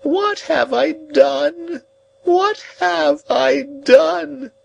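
A man voicing a heartbroken, despairing old woman who lives as a recluse, with slow, wailing, pleading cries. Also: sits high, animated, vocal fry, slight background noise, pitched above the performer's own voice, heavily acted, low-quality recording.

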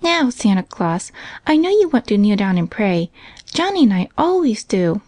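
A woman's voice: mid-range voice, sing-song, moderate pace, a little mellow, no echo. A woman voicing an eager, trusting little girl in a storybook, earnest and coaxing. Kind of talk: storybook character voice.

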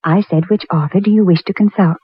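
Prim, stern librarian, slightly husky. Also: female voice, fairly low pitch, fairly even intonation, fast talker, dry recording. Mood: brisk, efficient. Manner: formal, clipped, reproving. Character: prim, stern, efficient small-town female librarian. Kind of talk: 1940s radio drama acting. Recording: muffled vintage 1940s radio recording.

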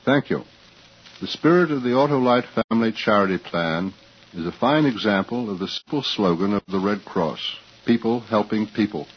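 A man giving a formal, scripted radio endorsement as a charity chairman, reading in a measured way. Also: on the low side, lively intonation, breathy edge. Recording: dull vintage radio broadcast recording.

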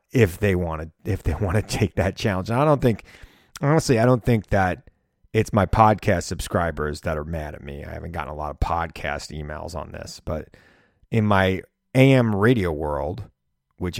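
Hoarse, opinionated male radio host. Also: on the low side, no echo, clean studio sound. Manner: opinionated, rambling, laughs often. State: losing his voice. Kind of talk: casual monologue.

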